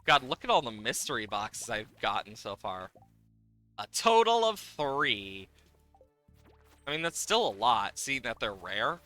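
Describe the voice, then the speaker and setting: A man's voice: medium pitch, sing-song, measured pace, sharp tone, faint music behind. A man giving casual livestream game commentary, playfully exasperated, swearing and exclaiming at the game as he plays.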